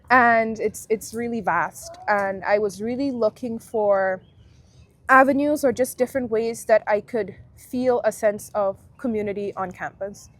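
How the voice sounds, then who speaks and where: A young woman from Guyana speaks as a guest in a casual interview, thinking aloud and stopping to rephrase. Her voice sits a little high. She sounds friendly and reflective. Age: 20s. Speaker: alumna guest.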